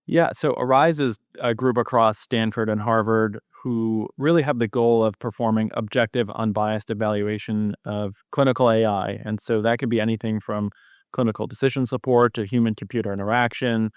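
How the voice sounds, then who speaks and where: Young man, expert podcast guest, medium pitch, crisp smooth voice, explanatory interview talk, dry recording. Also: fairly even intonation, normal speed, no echo. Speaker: cardiology fellow, podcast guest.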